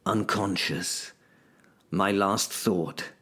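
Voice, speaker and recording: An actor playing a man worn out from holding the abyss open, narrating a horror audio drama in a grim, hushed voice that often drops to a whisper. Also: deep voice, steady tone, very slow, slightly rough, heavily acted. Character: exhausted man who held the abyss open.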